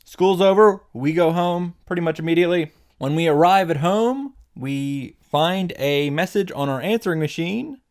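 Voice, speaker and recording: A man's voice: fairly high pitch, lively intonation, normal speed, few pauses, a little mellow, no echo, clean studio sound. A man narrating a comedic scripted recap as a video host, wry, playful and casually irreverent.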